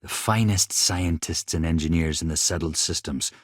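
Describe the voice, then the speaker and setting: A man's voice: low-pitched, moderate pace, dry recording, studio quality. A man playing an earnest, grateful spaceship engineer in a video game, speaking sincerely and measuredly as someone shaken by trauma. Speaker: video game companion character. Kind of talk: naturalistic game dialogue acting.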